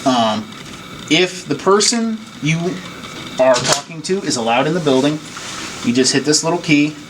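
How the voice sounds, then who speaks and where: A man's voice: fairly high pitch, animated, moderate pace. A man giving an informal hands-on training demonstration, explaining things plainly and step by step. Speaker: technician giving staff training. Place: indoors, at an equipment station. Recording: noisy room, clear background noise.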